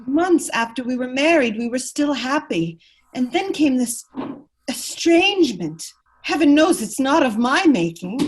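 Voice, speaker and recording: A woman's voice: high voice, sing-song, unhurried, breathy edge, heavily acted, rough recording. A woman playing a proud, unhappy, neglected aristocratic wife in an amateur radio play read, earnest and wounded. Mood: wounded, wistful.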